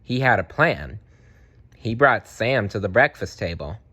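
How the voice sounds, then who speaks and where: A man narrating a children's storybook aloud in an expressive, deliberate way. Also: fairly low pitch, slightly rough, lo-fi sound.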